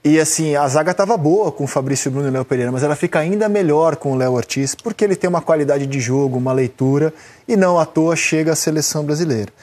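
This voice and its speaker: A man's voice: a little high, darkish tone. Brazilian man hosting a football panel. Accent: Brazilian Portuguese. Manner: brisk moderator, calls on panelists by name. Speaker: TV sports show host. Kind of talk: sports panel discussion.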